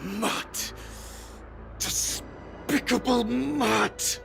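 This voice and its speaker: An actor playing a bitter, wounded fox-folk healer in a video game, halting and intense. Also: male voice, very high-pitched, gravelly, music in the background, exaggerated voice. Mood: bitter contempt. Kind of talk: dramatic video game acting.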